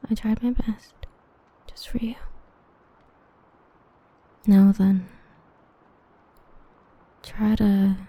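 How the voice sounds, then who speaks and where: Woman whispering as a husky, dark-voiced yandere villain. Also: medium pitch, steady tone, very slow, long pauses. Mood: tender. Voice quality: whispering, soothing and possessive. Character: obsessive, protective female villain with destructive powers. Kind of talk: ASMR roleplay, whispered.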